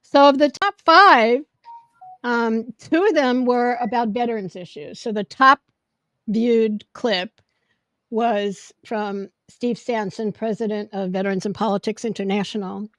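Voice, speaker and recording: Woman host with a loud, projecting voice. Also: a little high, measured pace, no room sound. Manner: loud, projecting, gets excited. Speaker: podcast host. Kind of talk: casual podcast chat. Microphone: podcast microphone.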